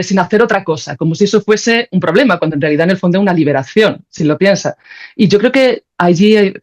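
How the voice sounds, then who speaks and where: Woman being interviewed on a podcast, lowish voice, thinks out loud and rephrases, bit muffled lo-fi sound. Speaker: podcast guest, audio creator and musician. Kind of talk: conversational interview answers. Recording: slightly dull lo-fi audio.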